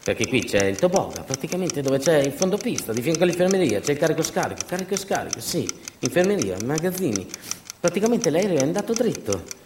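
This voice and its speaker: A man's voice: fairly high pitch, sing-song, fast, pitched above the performer's own voice. A man playing a harried, confused airport tower controller in a stage re-enactment, clipped, hesitant and urgent. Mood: puzzled, insistent. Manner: clipped, hesitant, urgent radio and phone talk. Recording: roomy, distant mic, faint noise.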